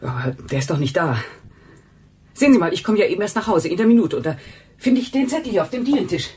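An actress playing a naive, deferential housekeeper in a German radio crime drama, rambling and correcting herself. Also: female voice, mid-range voice, expressive, quick pace, darkish tone, slight background noise, natural voice. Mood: chatty, artless. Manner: rambling, simple, self-correcting.

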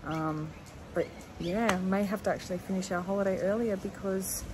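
A woman's voice: medium pitch, fairly even intonation, moderate pace, noisy background. An Australian woman in her thirties chatting casually to her phone camera for a farm vlog, rambling with plenty of fillers. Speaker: farm vlogger. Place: outdoors in a backyard by a house. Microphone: phone held at arm's length.

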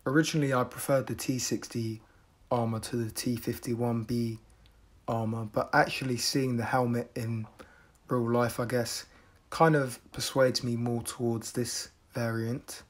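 A man from the UK narrating a casual product review in a measured, deadpan manner. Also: medium pitch. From United Kingdom.

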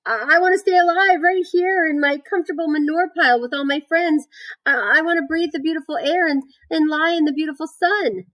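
A woman's voice: high-pitched, brisk, a bit bright, voice pushed up, poor audio. A woman voicing a frightened, naive young male pig in a storybook, wailing and pleading hysterically. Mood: tearful pleading.

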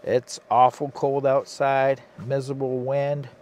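A man from Michigan vlogging casually from inside a parked car, talking low-key and half to himself. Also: little pitch movement, a little slow. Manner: low-key, quiet, half-muttered asides. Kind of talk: casual vlog commentary. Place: inside a parked car, cold and windy outside. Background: faint wind outside.

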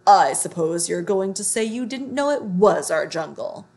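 A woman voicing menacing, hungry talking tigers in a children's storybook, with taunting, emphatic, drawn-out words. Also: mid-range voice, expressive, vocal fry, a little mellow. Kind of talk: children's storybook read-aloud.

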